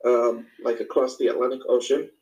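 A man's voice: mid-range voice, flat delivery, a little fast, a little mellow, low-quality recording. A man from Morocco with a Moroccan accent, speaking reflectively and hesitantly as he explains his personal experience in an informal talk to a small group.

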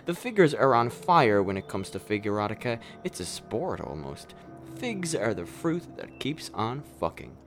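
A man acting a jittery, rambling killer, swinging pitch. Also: very animated, normal speed, music in the background. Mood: rambling, musing. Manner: stammering, hesitant, rambling, foul-mouthed. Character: nervous, rambling, apologetic male killer, darkly comic. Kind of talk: darkly comedic radio play acting.

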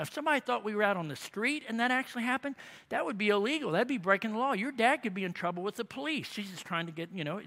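A man preaching a sermon, telling humorous stories with animated emphasis. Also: high voice, gravelly, big-room sound. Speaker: pastor.

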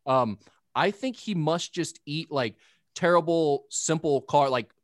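A man's voice: a little high, measured pace, no echo, studio quality. A young man guesting on a podcast, chatting casually in a self-deprecating, rambling way. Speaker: guest broadcaster.

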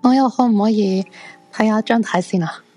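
A woman's voice: medium pitch, moderate pace, mellow, soft background music, natural voice. A woman with a Hong Kong Cantonese accent playing a fussy female crystal fortune teller in a supernatural radio drama. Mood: concerned.

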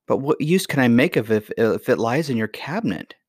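A man's voice: mid-range voice, expressive, a little fast, slightly rough, no echo, clean studio sound, voice pushed up. A man voicing an eager, polite young princess who speaks earnestly in a storybook reading. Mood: puzzled. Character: eager, polite little princess, curious and easily puzzled. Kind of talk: acted dialogue in a children's fairy tale reading.

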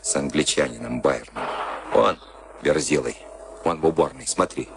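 An actor playing a cynical, streetwise prison camp convict in a Soviet radio play, commanding and sardonic in coarse street talk. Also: male voice, very deep voice, normal speed, creaky, background noise. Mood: cynical, menacing. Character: cynical, streetwise camp convict Tim, bullying boxing-match organiser.

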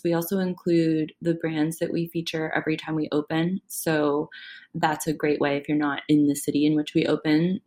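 A woman founder speaking as a podcast guest, chatty and excited about her business plans, laughing easily. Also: lowish voice. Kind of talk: casual interview chat.

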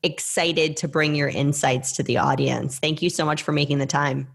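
A woman's voice: low-pitched, steady tone, normal speed, gravelly, husky. A woman hosting a podcast, reading an upbeat scripted intro and then interviewing her guest with enthusiasm. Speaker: podcast host.

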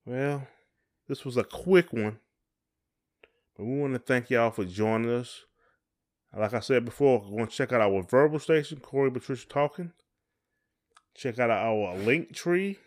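A man's voice: medium pitch, moderate pace. A middle-aged man, chatting casually as a podcast co-host in a folksy, encouraging way.

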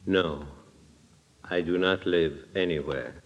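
An actor playing a soft-spoken, menacing killer in an old-time radio drama, hushed and formally polite. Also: male voice, sits low. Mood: quietly menacing. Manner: slow, formal, eerily polite. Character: soft-spoken menacing strangler who claims he is dead. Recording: muffled vintage radio recording.

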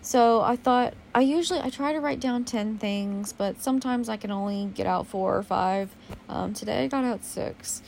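A young woman hosting a casual solo podcast with a naturally low voice, talking in a candid, rambling way and swearing casually. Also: normal speed, vocal fry, a little mellow, slight background noise. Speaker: podcast host.

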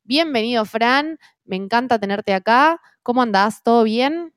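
A woman's voice: a little high, expressive, a little slow, clear, resonant tone, dry recording, studio quality. A woman with a Rioplatense Spanish accent hosting a conversational interview podcast in an enthusiastic manner. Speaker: podcast host.

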